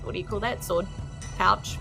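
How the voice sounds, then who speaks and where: A woman narrating a tabletop game as game master in a casual, improvised, animated way. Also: medium pitch, normal speed, gravelly. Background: faint music.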